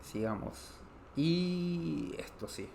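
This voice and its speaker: A man from Chile with a Chilean Spanish accent, explaining grammar in an online language lesson, pausing often on "eh" and restarting phrases. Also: a little high, expressive, vocal fry, background noise. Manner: explanatory, frequent "eh" fillers, repeats and restarts. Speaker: Spanish teacher.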